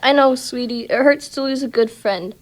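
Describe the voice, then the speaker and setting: A woman's voice: high voice, normal speed, natural voice. A woman playing a tender, reassuring mother of a young boy in a children's puppet show. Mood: tender concern.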